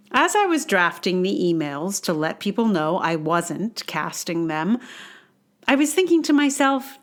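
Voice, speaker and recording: A woman with a mid-range voice talks like a podcast host sharing a personal essay. Her delivery is conversational and wry, with a self-deprecating touch. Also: mid-range voice. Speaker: podcast host and audio drama producer. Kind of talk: personal essay read aloud, then casual aside.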